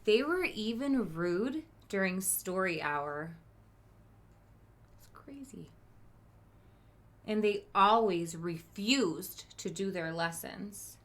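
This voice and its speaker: A woman teacher reading a storybook aloud to children, expressive, with chatty asides to her listeners. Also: lowish voice, vocal fry. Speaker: elementary school teacher.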